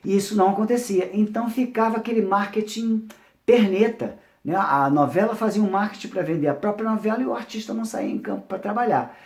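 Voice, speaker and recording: A middle-aged Brazilian man presenting informally, telling stories in an animated way and often rephrasing himself. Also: high-pitched, fast, vocal fry. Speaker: presenter, music producer. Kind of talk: informal talk on music history. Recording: roomy, echoing sound.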